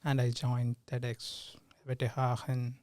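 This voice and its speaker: A young man from India, a podcast guest, answering in casual chat with pauses and frequent laughs. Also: mid-range voice, completely flat delivery, a little mellow, no room sound, clean studio sound. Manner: hesitant, laughs often. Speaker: guest, team lead.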